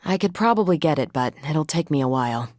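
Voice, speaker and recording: Low-voiced, wisecracking superhero woman. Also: lively intonation, fast, a little mellow, dry recording, clean studio sound. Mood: wry, casual. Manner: wry, casual, quippy. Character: confident wisecracking female superhero soldier, adult, brash but friendly. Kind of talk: video game voice acting.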